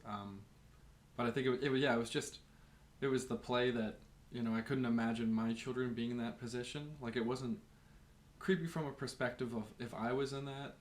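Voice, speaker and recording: A man co-hosting a casual film discussion in loose, hesitant phrases padded with filler words. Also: medium pitch. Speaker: podcast co-host.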